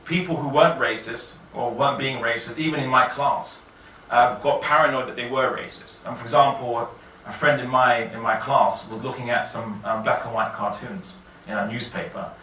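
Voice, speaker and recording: A man speaks in a bright, forward voice, picked up from a distance in a very echoey room with faint noise. He tells a personal story to listeners conversationally, often restarting and correcting himself as he goes. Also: medium pitch, fairly even intonation, normal speed. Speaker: speaker giving a personal testimony. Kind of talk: informal autobiographical talk to an audience.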